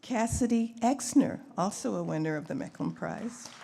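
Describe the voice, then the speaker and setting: A woman's voice: medium pitch, expressive, moderate pace, gravelly. A woman presenting awards at a live ceremony in an informal, chatty way, with asides to herself as she goes. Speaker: poet. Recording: faint background noise, live event microphone.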